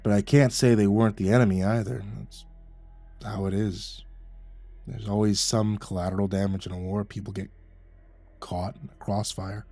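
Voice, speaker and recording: A man doing a gruff boozy old veteran. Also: level tone, normal speed, mellow, no echo, faint music behind. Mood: weary. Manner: low, muttering, menacing.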